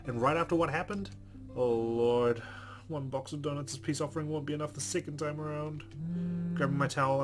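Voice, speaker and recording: A man reading a visual novel aloud as a let's play host, with casual asides. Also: medium pitch. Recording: clear game music behind.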